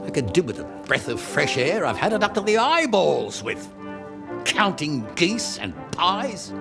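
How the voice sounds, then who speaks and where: A bossy, fretful nobleman in a medieval video game. His voice sits high and swoops dramatically as he gives orders in an excitable way, with music clearly heard underneath. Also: measured pace, full character voice. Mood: brisk, bossy. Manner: imperious, excitable. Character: bossy, fretful, thrifty male lord of the manor. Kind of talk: video game dialogue acting.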